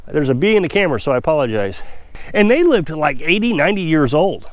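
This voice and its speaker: A man's voice: a little high, sing-song, fast talker, no room sound. Congested man in a chatty vlog. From Missouri. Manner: chatty, rambling, self-assured. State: congested from a cold. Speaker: off-grid homestead vlogger. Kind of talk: casual opinionated monologue to camera.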